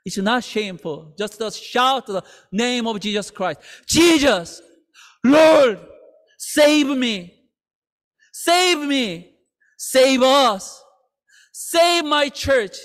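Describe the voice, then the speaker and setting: Slow earnest pastor in a reverberant hall. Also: male voice, extremely high pitch, expressive, fairly bright tone. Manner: slow, earnest, with halting restarts. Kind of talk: sermon. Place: church sanctuary.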